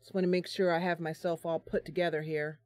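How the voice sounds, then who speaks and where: A woman's voice: lowish voice, flat delivery, quick pace, a little mellow, dry recording. Chatty, bubbly woman streamer, close dry sound. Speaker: live stream host, gamer. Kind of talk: casual live stream chat.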